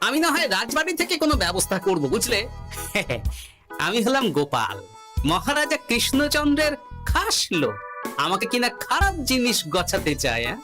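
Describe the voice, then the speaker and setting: A woman's voice: fairly high pitch, wildly swooping pitch, normal speed. A woman voicing a witty, self-important male court jester in a comic cartoon, with theatrical, wry delivery. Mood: boastful, evasive. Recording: music behind the voice.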